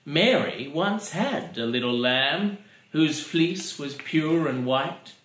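A man's voice: fairly high pitch, lively intonation, slow, fairly bright tone. A man in his thirties giving a dramatic, mock-solemn comic poetry reading. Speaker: poetry reader. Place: living room by a fireplace. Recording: roomy camera sound.

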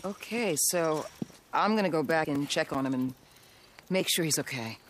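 A woman's voice: sits low, expressive, normal speed, slight background noise. An actress playing a firm, compassionate female medic from a survivor camp in a video game. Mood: calm, frank. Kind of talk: cinematic video game acting.

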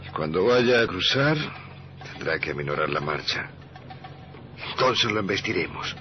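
A cold, obedient gangland henchman in a radio play. He speaks very low and deliberately, with ruthless intent, and his pitch moves widely. The old recording is noisy. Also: animated, muffled recording. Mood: cold plotting. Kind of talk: radio theatre acting.

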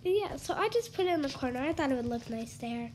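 A woman's voice: sits high, expressive, quick pace, darkish tone, a little hiss or hum. A young woman with a congested, stuffy voice, chatting casually through a tour of a house she built in a game, full of ums and likes. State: congested from allergies. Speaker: gaming YouTuber.

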